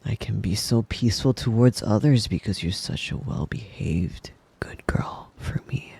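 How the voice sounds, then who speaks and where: A man as a breathy, possessive yandere wolf boy. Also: fairly low pitch, no echo, exaggerated voice. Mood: adoring. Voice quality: soft, breathy, often dropping to a whisper. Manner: cooing praise, slow, with little chuckles. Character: possessive yandere wolf boy, doting, sweetly menacing boyfriend. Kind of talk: intimate ASMR roleplay monologue. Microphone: close mic.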